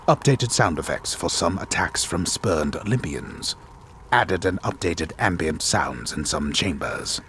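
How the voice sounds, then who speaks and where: Very deep, theatrical solemn storyteller. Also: male voice, a little hiss or hum, full character voice. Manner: deliberate, grave, deadpan theatrical delivery. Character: solemn disembodied male storyteller, grave and wryly theatrical. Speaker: game narrator. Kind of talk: dramatic reading of a scripted text.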